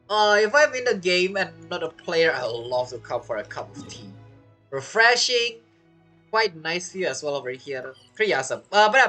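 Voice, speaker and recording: Casting: female game streamer, lowish voice, swooping intonation, casual and playful, light music behind. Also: a bit bright. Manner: playful, reacts aloud to characters. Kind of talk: casual playthrough commentary.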